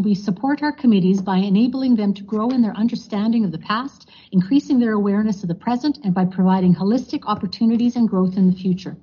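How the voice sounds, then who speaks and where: A woman's voice: medium pitch, dark tone, slightly rough. A woman chairing a formal board meeting, moving through motions with fillers and frequent thanks. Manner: procedural, many fillers and thank-yous. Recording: dull lo-fi meeting recording.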